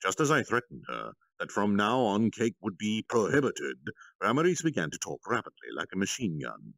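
Low, gravelly, very animated male storyteller. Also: moderate pace, dry recording. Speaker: storytime streamer, reader. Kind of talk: expressive story narration, read aloud.

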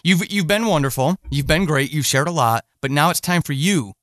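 Higher-pitched man hosting a casual interview. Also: moderate pace, a bit bright, dry recording, clean studio sound. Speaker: podcast host and interviewer.

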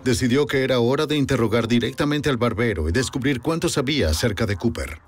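A man giving measured scripted narration for a crime documentary, recorded as a voice-over. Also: mid-range voice, animated.

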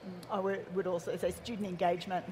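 A woman's voice: on the low side, little pitch movement, fast, a little mellow, a little hiss or hum. A woman speaking as an ICT director on a conference panel, friendly and matter-of-fact. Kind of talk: panel discussion answer.

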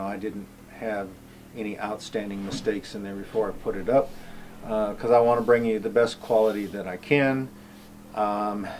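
A man's voice: lowish voice, darkish tone, slight background noise, low-quality recording. A middle-aged man talking casually to camera on an outdoor cabin porch, rambling with many ums and uhs. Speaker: homestead YouTuber.

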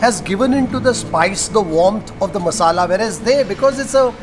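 A man's voice: high voice, lively intonation, a little fast, background noise. A middle-aged man with an Indian English accent, hosting a food vlog and explaining flavors at a restaurant table. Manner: descriptive, savoring, explanatory. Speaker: food vlogger host. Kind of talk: casual food-tasting commentary. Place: restaurant dining table, indoors.

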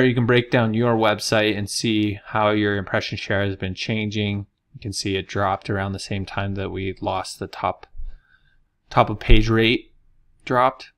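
Casual low-voiced man explaining software. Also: level tone, moderate pace. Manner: casual, explanatory, thinks aloud with fillers. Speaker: marketing consultant. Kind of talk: screen-recorded software tutorial.

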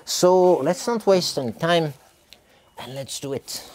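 A man's voice: a little high, slow pace, a little mellow. A middle-aged man, a tea farmer, rambling casually through a vlog in his tea factory, full of filler sounds and restarts. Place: tea factory, indoors by a hot wok.

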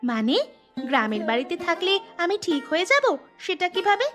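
A woman's voice: extremely high pitch, sing-song, a little fast, fairly bright tone, soft background music, full character voice. A woman voicing a stubborn young city wife in a cartoon, pouty and complaining. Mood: sulky.

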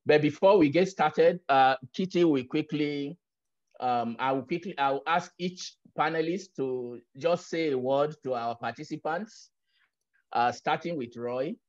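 A man's voice: fairly high pitch, a little slow. Hesitant man chairing a video call. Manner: hesitant, many fillers. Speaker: panel chair. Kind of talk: moderating a video panel. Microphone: laptop camera on a video call. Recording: dull video call audio.

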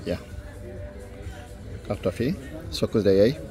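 A man doing a casual food review vlog in short, unhurried, appreciative phrases, recorded outdoors on a handheld phone. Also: on the low side, lively intonation, mellow, soft background music, some chatter behind. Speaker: food vlogger. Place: outdoor restaurant terrace by a lake.

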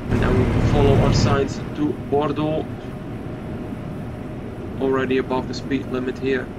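A man's voice: medium pitch, flat delivery, a little slow. A young man from Belgium with a Dutch accent, a native Dutch speaker, giving casual, hesitant gameplay commentary in English. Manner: plain, hesitant, with frequent fillers. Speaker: gaming YouTuber. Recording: game truck sounds underneath. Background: loud truck engine and road noise.

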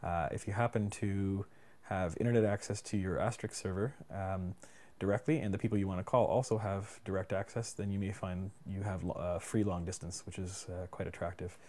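A man presenting a casual screencast software tutorial in an informal, plain-spoken and somewhat hesitant way. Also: low voice, steady tone, fairly quick. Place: indoors at a computer. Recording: clear background room noise.

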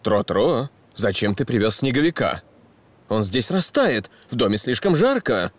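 A man voicing a patient, gently firm donkey father in a children's cartoon, instructive and mildly admonishing. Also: medium pitch, very animated, unhurried. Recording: music behind the voice. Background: children's cartoon music.

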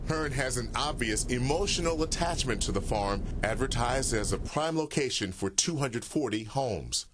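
Measured male news reporter. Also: medium pitch, background noise. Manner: steady, measured delivery. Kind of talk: scripted news narration.

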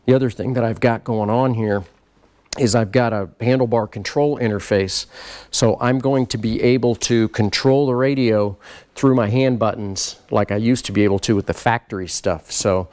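A middle-aged man giving a casual walk-around tour of his race car shop, explaining parts in a relaxed, practical way. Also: medium pitch, clean tone. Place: large garage workshop, indoors.

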